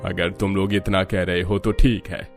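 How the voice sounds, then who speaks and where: An older head of household in a family cartoon. His voice is lowish and his manner measured and explanatory, over soft music. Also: little pitch movement, gravelly. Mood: firm reasoning. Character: older father-in-law, reasoning head of household. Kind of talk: Hindi cartoon story acting.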